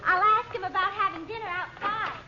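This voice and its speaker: An actress playing an earnest, eager teenage daughter in an old-time radio drama. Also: female voice, very high-pitched, fairly quick. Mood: bright, eager. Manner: earnest, girlish, polite. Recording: dull, slightly noisy old radio recording.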